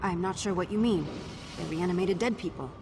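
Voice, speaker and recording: An actress playing a wry, morbidly curious young investigator in a video game, with a dry, understated and slightly awkward manner. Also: female voice, on the low side, fairly quick, noisy background, natural voice. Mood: awkward, defensive.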